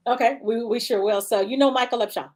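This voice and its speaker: A woman's voice: a little high, fairly even intonation, fast, darkish tone. A woman hosting a casual live-stream chat, bubbly, laughing often and reading viewer comments aloud. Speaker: show host.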